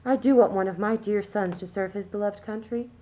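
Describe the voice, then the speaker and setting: A woman's voice: mid-range voice, a little fast, mellow, natural voice, rough recording. Woman acting a prim, smug society mother. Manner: prim, condescending, self-satisfied. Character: smug, genteel upper-class anti-suffragist mother, middle-aged. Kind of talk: scripted radio play acting.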